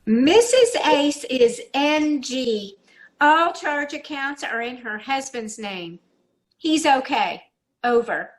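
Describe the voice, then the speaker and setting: A woman's voice: high voice, expressive, slow pace. A woman playing an officious bank clerk reporting over an intercom in an old-time radio comedy.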